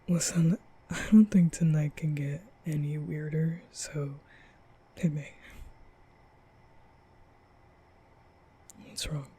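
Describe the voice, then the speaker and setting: Man playing a breathy, nervous young vampire. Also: a little high, level tone, warm tone, full character voice. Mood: soft, reassuring. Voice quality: breathy, half-whispered. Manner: hesitant, stammering, slow. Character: nervous, caring young male vampire, awkward and gentle. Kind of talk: intimate ASMR boyfriend roleplay.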